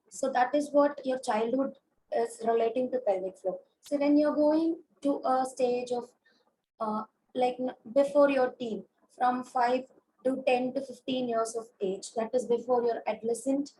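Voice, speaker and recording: A woman with an Indian English accent giving a webinar lecture as a physiotherapist, hoarse from a throat infection, explaining with many fillers and restarts. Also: on the high side, moderate pace, warm tone, slight background noise, poor audio. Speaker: consultant physiotherapist. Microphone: video call.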